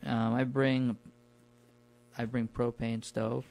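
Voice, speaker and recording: A man's voice: mid-range voice, little pitch movement, slow, a little mellow. Informal, colloquial man chatting. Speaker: podcast guest recounting his camping trips. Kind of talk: casual conversational storytelling.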